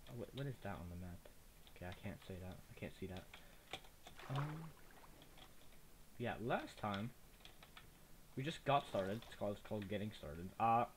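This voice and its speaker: A man's voice: lively intonation, moderate pace, pauses often. A young man with a slight lisp, rambling casually through a let's play recorded on a cheap microphone. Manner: rambling, chatty, easily distracted. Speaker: gaming YouTuber. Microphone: cheap desktop mic. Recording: low-quality mic with faint noise.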